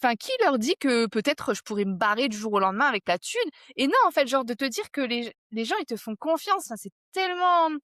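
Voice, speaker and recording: A woman hosts a casual podcast from her home office. Her voice sits a little high, and she talks with eager, slangy energy. The sound is dry and close. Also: lively intonation, fast, hardly any pauses, fairly bright tone, dry recording. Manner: chatty and enthusiastic, casual slang. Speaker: podcast host. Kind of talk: casual conversational interview.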